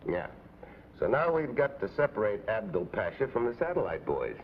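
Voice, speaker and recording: A man's voice: fairly low pitch, sing-song, moderate pace. A man playing a confident, scheming American secret agent in a terse, hard-boiled way, in the style of a 1950s adventure show. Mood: calm resolve. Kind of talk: 1950s TV adventure drama acting. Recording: tinny, noisy old TV soundtrack.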